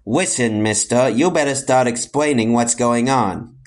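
A man's voice: medium pitch, measured pace, natural voice. A man playing an earnest, good-natured hero in a children's audio comedy, with a mock-heroic manner. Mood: indignant, determined.

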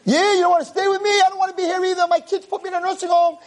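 A man voicing a miserable, angry old nursing-home resident in a told story, grumpy, curt and yelling. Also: extremely high pitch, flat delivery, very fast, voice pushed up.

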